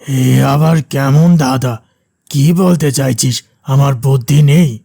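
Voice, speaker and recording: Gravelly, bullying demon. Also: mid-range voice, measured pace, mellow, no room sound, clean studio sound. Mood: irritated. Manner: taunting, domineering. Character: boastful, menacing rakshasa demon, bullying forest tyrant. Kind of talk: cartoon character acting.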